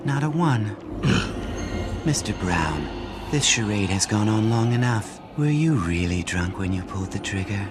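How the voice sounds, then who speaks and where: An actor playing a cold, calm male interrogator in a dramatic anime dub, probing with deliberate, insinuating questions. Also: on the low side, noisy background. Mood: cold accusation.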